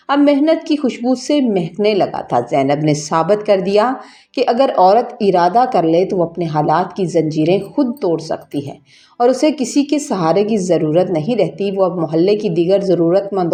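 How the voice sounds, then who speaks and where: A woman's voice: lowish voice, warm tone. A woman reads a scripted first-person story as a storyteller, with an earnest, emotive, dramatic delivery. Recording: music bed under the voice. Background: background music.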